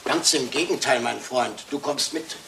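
An actor playing a fastidious, shrewd Belgian detective in a German crime radio play, speaking precisely and probingly with French words sprinkled in. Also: male voice, medium pitch, gravelly, noisy background, close to an everyday voice.

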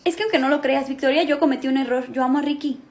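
A young woman acting out a comedy sketch, switching quickly between characters in a small room at home. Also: high-pitched, steady tone. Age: young adult.

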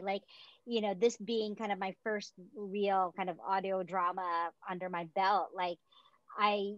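A woman's voice: mid-range voice, dry recording. A woman in her forties, a theatre director, chatting on a video call from home with many fillers. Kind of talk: casual interview on a video call. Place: home room, indoors. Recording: dull video call audio.

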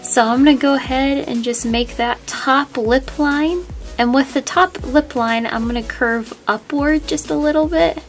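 A woman's voice: high voice, unhurried, soft background music. A woman hosting a step-by-step drawing tutorial, friendly and easygoing, a little sniffly from a cold. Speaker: art tutorial host.

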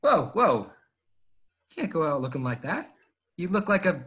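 Man playing a husky, cocky starship pilot. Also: a little high, sing-song, measured pace, a bit bright, heavily acted. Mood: casual. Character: cocky, exasperated young male starship pilot in sci-fi film. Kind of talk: script table read, acted dialogue. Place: home, over video call.

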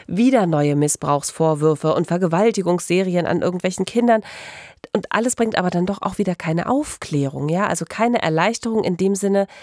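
A woman's voice: on the low side, darkish tone, no room sound, studio quality. A middle-aged woman hosting a true-crime podcast in a conversational, engaged and emphatic way.

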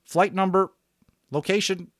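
A man's voice: sits high, fairly even intonation, unhurried, fairly bright tone, no echo, studio quality, voice pushed up. A man voicing an adult male radio operator, speaking in clipped, procedural radio talk. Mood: businesslike.

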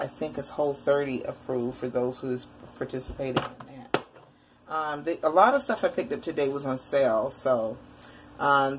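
Low-voiced chatty woman showing groceries. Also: a little hiss or hum. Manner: chatty, rambling, lots of fillers. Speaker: vlogger. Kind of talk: casual grocery haul chat. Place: indoor room at home, shelves behind.

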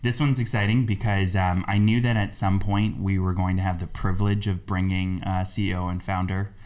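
A man's voice: deep voice, little pitch movement, normal speed, a little mellow. A man in his thirties hosting a casual podcast, loose and friendly with frequent ums, recorded on a desk mic in a small studio. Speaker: podcast host. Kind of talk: casual interview chat. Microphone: desk mic on boom arm.

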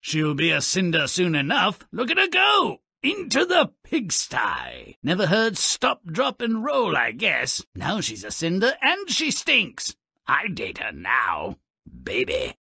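An actor voicing a gleefully malicious little dwarf storyteller in a video game, raspy and gravelly, with a theatrical, sardonic manner. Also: male voice, high-pitched, wildly swooping pitch, takes it slowly, sharp tone, no echo, heavily acted. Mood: gleeful, mocking, then dry disgust. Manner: theatrical, sardonic, relishing nasty words. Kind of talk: video game character narration.

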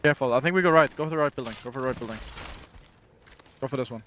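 A man's voice: medium pitch, rapid-fire, a little hiss or hum. A man leading an esports team with urgent, repetitive, commanding callouts in short phrases. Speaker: esports team player calling strategy. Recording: game voice chat over loud gunfire. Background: gunfire, vehicles and game sounds.

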